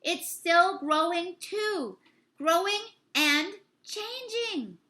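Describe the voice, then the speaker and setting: A woman's voice: very high-pitched, lively intonation, drawn-out words, bright, forceful tone, voice pushed up, heavily acted. A woman voicing an earnest, educated, frail boy in a storybook, speaking emphatically and precisely, prone to getting flustered. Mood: urgent.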